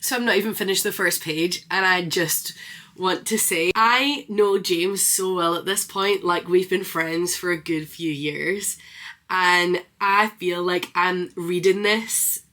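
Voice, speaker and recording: Bright-voiced woman chatting enthusiastically. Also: lowish voice, measured pace, faint music behind. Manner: enthusiastic, expressive, informal. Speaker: book reviewer and vlogger. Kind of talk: casual read-along reaction chat.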